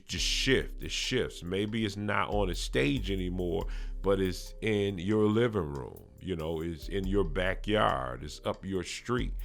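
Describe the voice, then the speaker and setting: A man hosting a podcast, talking casually and encouragingly to his viewers in a halting, repetitive way. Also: low-pitched, vocal fry, a bit bright. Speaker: podcast host. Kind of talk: casual promotional talk to viewers.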